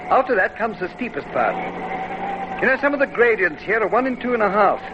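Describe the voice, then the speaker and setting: High-voiced, hopeful traveller. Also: male voice, sing-song, a little fast. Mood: earnest, awed. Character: hopeful, awed male traveller talking to companion. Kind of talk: old-time radio drama dialogue. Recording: noisy dull old radio recording.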